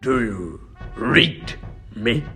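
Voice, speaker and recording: A man acting out a blustering, short-tempered middle-aged newspaper boss for a comic dub, gruff and barking, with a gravelly voice. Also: mid-range voice, lively intonation, very slow, pushed and bright, soft background music, heavily acted. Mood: gruff, impatient.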